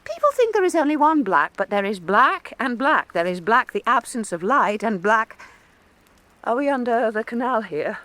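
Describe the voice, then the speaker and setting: Sardonic, defiant female artist, period radio drama; blunt and mocking, animated pitch, dry close sound. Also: moderate pace, no echo. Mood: sardonic, musing. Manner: blunt, mocking, theatrical delivery. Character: defiant, sardonic woman painter in historical Venice.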